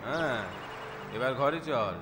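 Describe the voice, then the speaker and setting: A man's voice: mid-range voice, takes it slowly, noisy background, lightly acted. A man playing a bossy but sensible village merchant in a cartoon, with a scolding, emphatic manner. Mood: exasperated. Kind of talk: cartoon dialogue acting.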